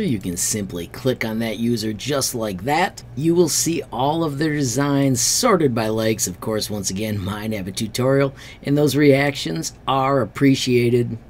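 A man's voice: mid-range voice, a little hiss or hum. A middle-aged American man narrating an upbeat screen-share tutorial in an enthusiastic, friendly way as an online host. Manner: enthusiastic, friendly sign-posting to viewers.

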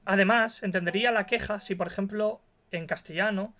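A young man sets out his view firmly, stacking up one point after another. His voice sits on the high side for a man. He talks into a headset from a dim room at home. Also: fairly even intonation, brisk, bright, forceful tone. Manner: emphatic, opinionated, building his case point by point. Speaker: co-host. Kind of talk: casual streamed chat.

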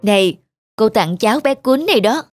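A woman playing a kind, soft-hearted young woman in a cartoon, with a polite, tender manner. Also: on the high side, animated, moderate pace. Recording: music behind the voice.

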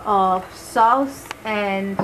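A woman's voice: mid-range voice, extremely slow. A young woman hosting a casual home cooking vlog, chatty and enthusiastic as she explains each step. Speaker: vlog host. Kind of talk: casual cooking commentary to camera. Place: small home kitchen, indoors. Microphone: camera microphone. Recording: clear kitchen noise, camera mic. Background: kitchen cooking ambience.

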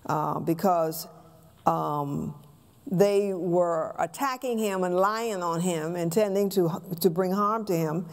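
An American woman in her sixties teaching scripture from a pulpit in a halting, conversational way with rhetorical questions. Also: lowish voice. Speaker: Bible class teacher, preacher. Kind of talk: teaching sermon, explaining scripture. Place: church sanctuary stage, at a pulpit.

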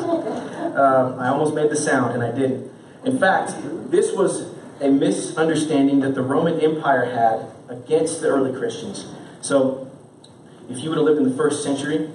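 A man's voice: mid-range voice, darkish tone, a little hiss or hum. A young man preaching a sermon at a stand mic on a church stage, earnest and full of rhetorical questions. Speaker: pastor. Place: church stage with band gear, indoors. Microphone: stand mic, far camera. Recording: roomy church sound from far off.

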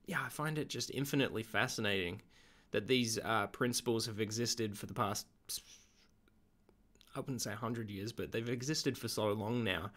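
A young man, slightly hoarse from being sick, chatting in a relaxed, rambling way with lots of ums in a video diary at home. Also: on the low side. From Australia. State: slightly hoarse, getting over an illness. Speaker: vlogger.

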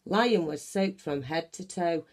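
A woman reading a children's picture book aloud in an animated, deliberate manner. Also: fairly low pitch. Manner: animated, deliberate, acts out sound effects. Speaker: children's story reader. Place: small bedroom at home, indoors.

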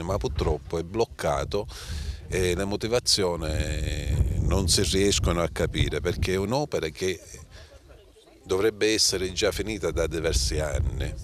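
Older male councillor, low voice, TV news interview outdoors. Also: measured pace, a little hiss or hum. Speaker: town councillor. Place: outdoors by a metal fence. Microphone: handheld reporter microphone.

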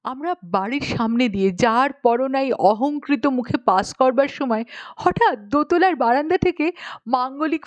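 A woman's voice: on the high side, no room sound, muffled recording. A woman audiobook narrator reading a humorous story expressively.

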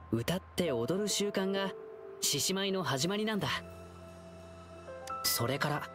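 An actor voicing an earnest, friendly, boyish lion-eared young dancer in a Japanese anime game. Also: medium pitch. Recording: game background music under voice.

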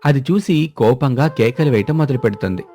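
A man's voice: medium pitch, expressive, brisk, warm tone, faint music behind. A man narrating a scripted story as its storyteller.

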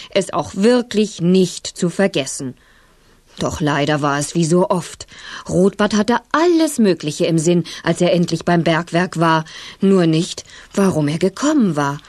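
Lowish-voiced woman narrating for children. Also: dry recording. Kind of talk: scripted children's story narration.

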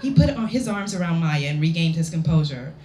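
A woman reads her story to a live audience with lively, swooping pitch and theatrical pauses. Her delivery is playful and expressive. The recording is roomy, with some background noise. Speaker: author reading own work. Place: indoor lounge at a public reading event.

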